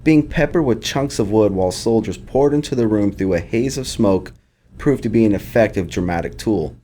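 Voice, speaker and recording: A man's voice: on the low side, normal speed, warm tone, slight background noise. A man reading scripted commentary as a game developer.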